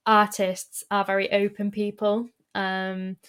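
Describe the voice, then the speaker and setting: A woman hosting an interview, musing aloud and filling pauses with um and sort of. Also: medium pitch, completely flat delivery, a bit bright, smooth. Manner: thoughtful, fills pauses with um and sort of. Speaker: interviewer, host. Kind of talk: conversational interview.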